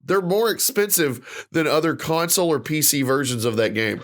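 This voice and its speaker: A man's voice: a little high, animated, normal speed, a bit bright. A man hosting a casual podcast, ranting with lots of fillers and restarts, sounding stuffed up and nasal. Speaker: podcast host.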